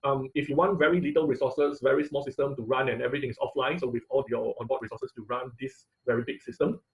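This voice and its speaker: Male online instructor, medium pitch, informal technical lecturing, repeats phrases and checks in often. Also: fairly even intonation, quick pace, poor audio.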